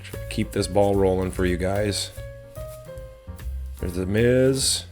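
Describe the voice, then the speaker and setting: A man with a slightly hoarse voice casually chatting as he opens card packs on his channel, relaxed and rambling with frequent ums. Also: low voice, slow pace, faint music behind. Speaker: host of a card-opening channel. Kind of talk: casual chat while opening card packs.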